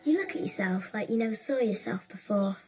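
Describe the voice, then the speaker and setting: A woman playing a lively, imperious young girl in an audio drama, with blunt, playful, childlike chatter. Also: mid-range voice, brisk, slight background noise, natural voice. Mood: curious, needy. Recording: music behind the voice.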